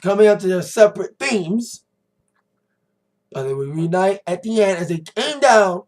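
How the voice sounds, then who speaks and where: An American man with a slightly hoarse voice, giving a rambling, informal and emphatic recap as a wrestling review vlogger. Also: sits high, lively intonation, normal speed, darkish tone. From United States. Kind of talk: casual recap commentary to camera.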